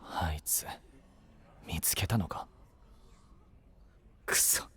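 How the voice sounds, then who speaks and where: An actor playing a brash, rough-spoken young knight candidate with a kind streak, grumbling in slangy speech in a fantasy audio drama. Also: male voice, extremely slow, whispered. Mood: muttering. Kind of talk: anime-style fantasy audio drama acting.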